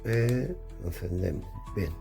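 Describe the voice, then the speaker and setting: A man's voice: low-pitched, unhurried, creaky, dark tone, faint music behind. A middle-aged man from chatting casually indoors as he demonstrates radio equipment, thinking aloud while he fiddles with the devices. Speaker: radio gear reviewer.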